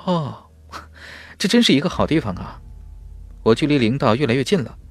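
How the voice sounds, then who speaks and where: A man's voice: medium pitch, lively intonation, normal speed, slightly rough, dry recording, faint music behind, voice pushed up. A man voicing a determined young cultivator hero in an audiobook, musing with self-possession. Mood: hopeful. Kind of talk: audiobook character voice.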